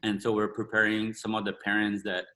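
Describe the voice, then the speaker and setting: Fast-talking earnest man, bright voice. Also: fairly low pitch, completely flat delivery, rough recording. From India or Burma. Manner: quick, earnest, broken English with many "you know"s. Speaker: martial arts school owner. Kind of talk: casual interview talk.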